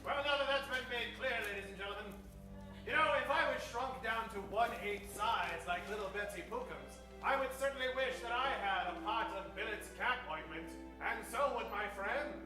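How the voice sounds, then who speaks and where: A man's voice: very high-pitched, normal speed, distant mic. A man narrating as a mock old-time radio announcer, with a theatrical showman delivery. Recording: very echoey stage, music behind.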